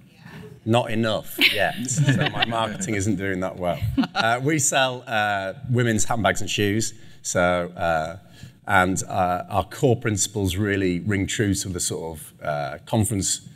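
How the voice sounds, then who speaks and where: A British man with a low voice answers questions on a conference panel, talking before a live audience. He is chatty and self-deprecating, with plenty of fillers and restarts. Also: measured pace, fairly bright tone. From United Kingdom. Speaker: panelist, chief digital officer.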